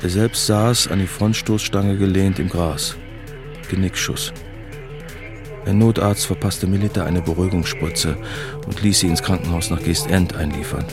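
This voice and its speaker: A man playing a sober first-person narrator in a German radio drama, measured and matter-of-fact. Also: low-pitched, fairly even intonation. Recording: music behind the voice.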